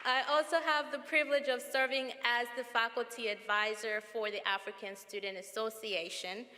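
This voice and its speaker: A woman from Zambia, a professor, giving an earnest, measured explanation at a formal ceremony. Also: fairly high pitch, steady tone, bright, forceful tone. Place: large auditorium. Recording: long hall reverb.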